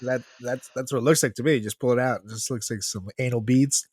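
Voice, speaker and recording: Laughing podcast co-host, male, likely 30s, medium pitch, dry close sound. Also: fairly even intonation, fairly quick, a little mellow, slightly rough, no room sound. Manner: joking, laughs often, hypes the show. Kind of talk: casual chat.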